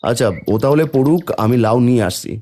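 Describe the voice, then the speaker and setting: A man's voice: medium pitch, fast talker, mellow, natural voice. A man playing an earnest, fretful, hardworking village father in a cartoon family drama. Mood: matter-of-fact.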